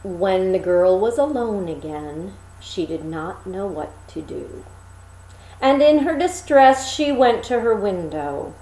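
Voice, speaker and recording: A woman reading a fairy tale aloud as expressive storybook narration, with dramatic, deliberate phrasing. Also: medium pitch, roomy sound, rough recording.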